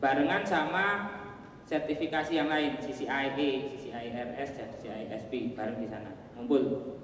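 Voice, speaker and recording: A man with a Javanese accent giving a relaxed conference talk, with joking asides and plenty of fillers. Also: mid-range voice, normal speed, lo-fi sound, slight background noise. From Java. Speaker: conference presenter. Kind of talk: casual technical presentation. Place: large hall. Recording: echoey hall, voice from far off.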